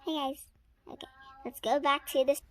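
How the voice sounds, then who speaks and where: A young girl of about seven or eight reading a story aloud haltingly and chatting to viewers in casual asides. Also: sits high, steady tone. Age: child around seven or eight. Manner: halting, sounding out and repeating words.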